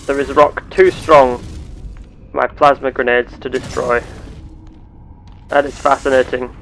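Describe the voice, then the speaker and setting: A man voicing a curious, earnest space explorer with measured, formal, theatrical phrasing, narrating a sci-fi game roleplay. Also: mid-range voice, flat delivery, vocal fry, a bit bright, slight background noise. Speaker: gameplay host.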